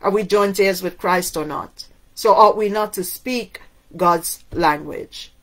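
A middle-aged woman, born in Cuba with Spanish as her first language, preaches to a webcam. She talks slowly and emphatically, leaning on each word and asking her listeners one rhetorical question after another. Her pitch sits in a middle register. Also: fairly bright tone, poor audio. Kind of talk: sermon to camera. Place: indoors in front of a waterfall backdrop.

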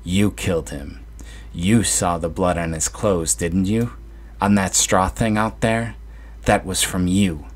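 A man's voice: fairly even intonation, slow. A man voicing a deranged mother who taunts her son in a horror story. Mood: derisive. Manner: shrill, taunting, frantic. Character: unhinged mother, shrill and mocking.

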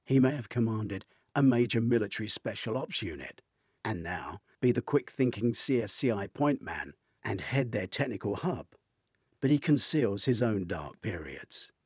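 A man's voice: on the low side, moderate pace, breathy edge, dry recording, studio quality. An older man reading a thriller aloud in a dramatic audiobook style. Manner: dramatic, expressive storytelling. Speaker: author reading own novel.

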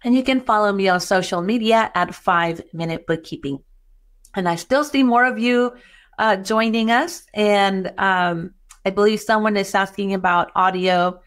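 A woman's voice: medium pitch, moderate pace. Middle-aged chilean woman, spanish accent with a bit of texas, webinar host on a webcam, chatty and welcoming, lots of ums. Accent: Chilean Spanish with some Texan. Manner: warm, welcoming, with frequent fillers. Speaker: webinar host and bookkeeping educator. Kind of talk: webinar presentation.

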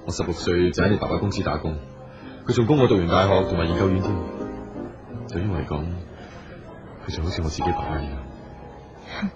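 Actor as polite earnest businessman, low voice, hong kong cantonese, radio play, music behind him. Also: brisk, pauses often, lightly acted. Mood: apologetic, earnest. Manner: polite, solicitous. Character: earnest, attentive businessman, loyal and persistent. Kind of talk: radio drama acting. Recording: dull audio with music underneath.

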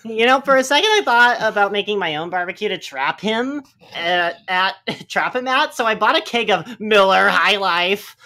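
Bright, animated woman reading aloud. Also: medium pitch, normal speed, few pauses. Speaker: podcast reader. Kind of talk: comedic live reading of forum posts. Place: live group podcast recording.

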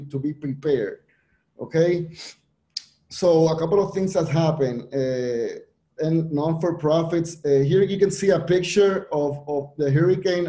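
A man's voice: a little high, mellow. Man from Puerto Rico, echoey room. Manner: halting, many restarts and fillers. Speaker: panelist. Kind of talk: presenting on a virtual panel.

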